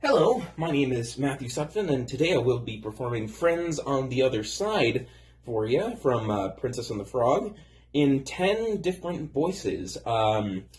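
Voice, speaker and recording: Guest voice performer, man, lively intonation, presentational and friendly. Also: mid-range voice, a little slow. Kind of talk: introducing a performance.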